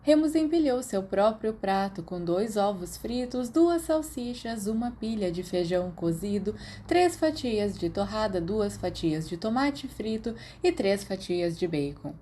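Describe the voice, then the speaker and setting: A Brazilian woman narrates fiction from a script, like an audiobook. Her voice is soft-edged and mellow, sitting at a middle pitch. Faint noise runs behind the recording. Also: very animated, unhurried, hardly any pauses. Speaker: podfic narrator.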